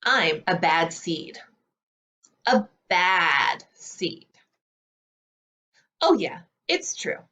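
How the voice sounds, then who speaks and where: A woman's voice: lowish voice, very animated, bright, forceful tone, dull audio, heavily acted. Woman as storybook bad seed: brazen, confessional, theatrical, with swooping intonation. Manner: dramatic, confessional storybook delivery with drawn-out words. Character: self-declared bad little seed, male, brazen storybook narrator.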